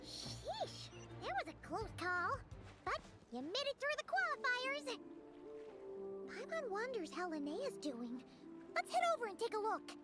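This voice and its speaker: A woman voicing a small, childlike, excitable and blunt fairy companion in a video game. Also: very high voice, unhurried, loud music behind the voice, heavily acted. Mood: relieved, chirpy. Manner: refers to self in third person.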